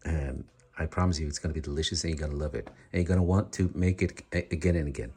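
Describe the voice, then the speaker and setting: A man hosting his cooking channel, explaining a recipe in a relaxed, rambling way and often correcting himself mid-sentence. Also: very deep voice, fast, breathy edge. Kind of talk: casual cooking tutorial.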